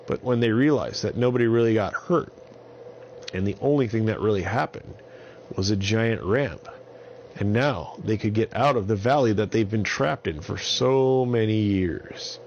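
Low, creaky male bedtime storyteller. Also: normal speed, a little mellow, highs cut off, a little hiss or hum. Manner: simple, repetitive phrasing for small children. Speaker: children's storyteller. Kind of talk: improvised bedtime story narration.

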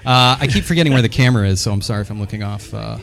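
A man's voice: fairly low pitch, rapid-fire, gravelly, a bit bright. A man hosting a podcast in casual, joking talk, sarcastic and teasing, with some swearing. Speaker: podcast host.

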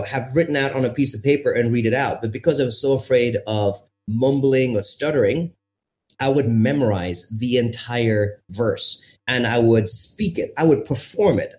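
A man in his thirties from India, a podcast guest telling his story in an animated way, with emphatic phrasing and pace that keeps shifting. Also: medium pitch. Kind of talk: storytelling interview answer.

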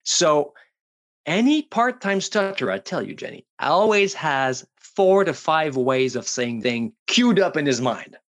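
Animated French Canadian man, lively pitch. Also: unhurried. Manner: animated, jokey, with occasional restarts. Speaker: guest, public speaking coach. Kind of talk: casual interview talk. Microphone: studio headphones and mic.

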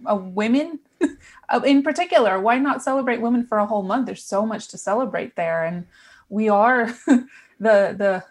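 A woman's voice: fairly high pitch, normal speed, darkish tone. A woman from New York with a transatlantic New York accent, a podcast guest who is upbeat and laughs often. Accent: New York American, transatlantic. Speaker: guest, business owner and coach. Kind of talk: casual podcast interview.

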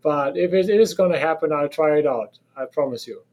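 German-accented man, mellow voice. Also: fairly high pitch, a little fast. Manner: laughs often, direct and opinionated. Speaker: professor of operations management. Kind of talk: podcast interview guest.

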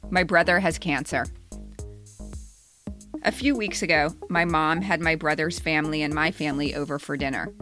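Low-voiced woman reading a personal essay. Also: fairly even intonation, normal speed, over music. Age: 50s. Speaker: podcast co-host and essayist.

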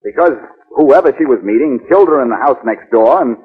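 An actor playing a brash, glib amateur detective under suspicion, in 1940s radio drama style. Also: male voice, medium pitch, expressive, a little fast, husky. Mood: puzzled, insistent. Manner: wry, glib, wisecracking. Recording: muffled old 1940s radio recording.

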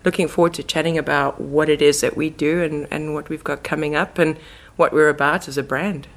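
A woman's voice: low-pitched, steady tone, fairly quick. A woman with a South African accent, chatting informally as a guest in a casual podcast interview. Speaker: interview guest, marketing manager.

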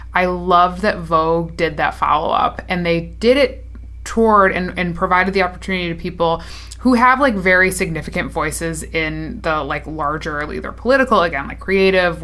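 A woman's voice: on the low side, sharp tone. A woman in her late twenties co-hosting a podcast in casual chat, talking with lots of fillers and laughter. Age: 20s. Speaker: podcast co-host.

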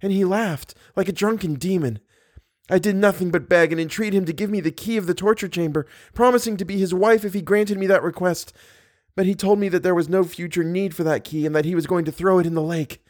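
A man's voice: high voice, a little fast, darkish tone, pitched above the performer's own voice. A man voicing a terrified, distraught young captive woman in an audiobook, speaking with urgent, pleading delivery. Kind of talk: dramatic audiobook character voice.

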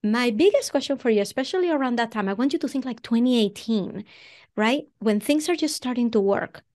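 A woman's voice: fairly high pitch, expressive, brisk, a little mellow. Woman with a Venezuelan accent hosting. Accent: Venezuelan Spanish. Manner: long, winding questions. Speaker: podcast host. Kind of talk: conversational interview.

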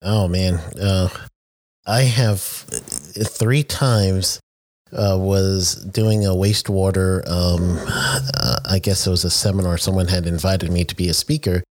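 A man's voice: low-pitched, steady tone, a little slow, dry recording. A man from the United States interviewing a guest in a friendly, chatty way, laughing easily. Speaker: interviewer, safety trainer. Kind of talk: conversational interview.

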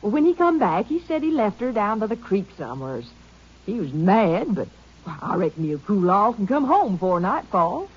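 A woman's voice: mid-range voice, sing-song, moderate pace, breathy edge. A middle-aged woman playing a worried rural mother in an old radio drama, folksy and anxious. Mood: defensive. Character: worried rural mother defending her son. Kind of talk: 1950s radio acting. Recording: dull old radio recording.

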